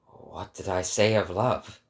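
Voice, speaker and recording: A man's voice: low-pitched, fairly even intonation, slow. A man voicing a proud, solemn sage with grave, declarative delivery in a dramatic book reading. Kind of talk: dramatic audiobook character reading.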